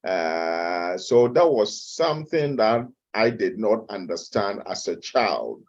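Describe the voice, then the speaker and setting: A middle-aged man with a Ghanaian accent, a guest expert answering interview questions slowly, with frequent hesitant fillers. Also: lowish voice, expressive, dull audio. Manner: measured and slow, with many hesitant "uh" fillers. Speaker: guest expert, nutrition professor.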